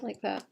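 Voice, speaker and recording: A young woman with a gravelly, hoarse voice chats casually while sketching on a livestream, often correcting herself and softly laughing. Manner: chatty, self-correcting, many "like"s and soft laughs. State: hoarse, suppressing a cough. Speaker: digital artist streaming a commission. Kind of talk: casual thinking-aloud commentary while sketching.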